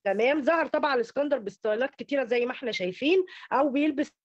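A dull, lo-fi online-call recording of an Egyptian woman presenting academic slides. Her voice sits fairly high and she talks quickly, often stumbling over and repeating small words as she explains. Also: expressive, no room sound. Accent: Egyptian Arabic.

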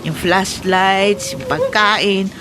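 A woman's voice: medium pitch, fairly even intonation, drawn-out words, crowd noise. A woman playing a deferential household maid in a Filipino radio drama, speaking politely and dutifully.

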